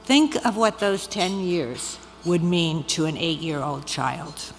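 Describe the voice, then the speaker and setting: Slow-speaking woman speechmaker in a reverberant hall. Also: medium pitch, pushed and bright. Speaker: event chair giving opening remarks. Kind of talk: prepared speech at an awards ceremony. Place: large event hall, on stage. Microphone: podium microphone. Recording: hall reverb, background noise, podium microphone.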